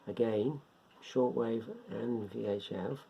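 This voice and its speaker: A man giving an informal, unscripted beginners' explainer in a rambling, step-by-step way, repeating himself, with a gravelly, husky voice. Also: lowish voice, fairly even intonation, slow, a little hiss or hum. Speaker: hobbyist presenter of a beginners' guide. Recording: dull lo-fi home recording.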